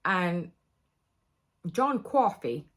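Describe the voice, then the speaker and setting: A woman speaks in a slightly low register. She talks straight to camera in a casual, confessional vlog style, candid and emotional, wandering from one thought to the next. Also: sing-song, extremely slow. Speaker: vlogger.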